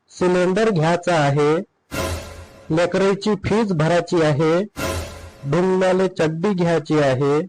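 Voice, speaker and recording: A man's voice: fairly high pitch, darkish tone, lightly acted, rough recording. A man playing a rude, grumbling husband in a comedy cartoon, blunt and sarcastic with a Vidarbha Marathi accent.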